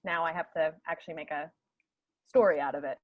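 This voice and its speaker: A woman's voice: low-pitched, fairly even intonation, brisk, lo-fi sound. A woman actor chatting casually in a group discussion, emphatic and repeating phrases as she thinks aloud. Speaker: actor in a radio play, panel guest.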